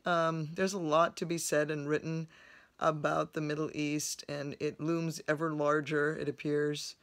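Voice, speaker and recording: A woman from Lebanon hosting a book review vlog from her home library, speaking in a thoughtful, measured way with frequent pauses. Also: low-pitched, a bit bright. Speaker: host.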